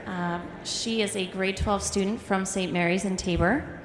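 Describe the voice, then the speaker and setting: A woman's voice: medium pitch, fairly even intonation, a little slow, a little hiss or hum. Woman introducing a speaker, echoey hall. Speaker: event presenter introducing a speaker. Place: large hall. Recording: echoey hall with long reverb.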